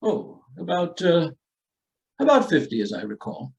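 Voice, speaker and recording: Radio play patriarch, played by a man: genteel, ruthless, formal with hesitant pauses, higher-pitched and very animated. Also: gravelly, heavily acted. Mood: blandly menacing. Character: aloof, wealthy estate-owner father, genteel and coolly ruthless. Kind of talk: old-time radio drama acting.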